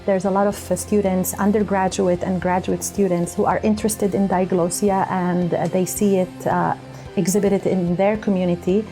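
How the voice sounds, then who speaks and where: Woman, professor, earnest explanation, low pitch, faint music behind. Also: flat delivery, moderate pace, warm tone. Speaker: university professor and researcher. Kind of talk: explaining own academic work on camera.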